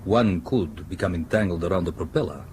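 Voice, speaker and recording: An actor playing a guarded, suspicious ship's captain in a radio drama, a non-native English speaker with formal, precise manners. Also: male voice, low voice, noisy background, lightly acted. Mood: stern, defensive. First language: not English. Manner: formal, precise, measured courtesy.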